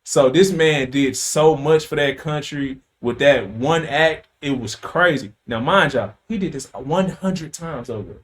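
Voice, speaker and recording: A man's voice: fairly high pitch, measured pace, hardly any pauses. A man hosting a casual podcast, telling a story with loose slang, profanity and plenty of fillers. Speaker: podcast host.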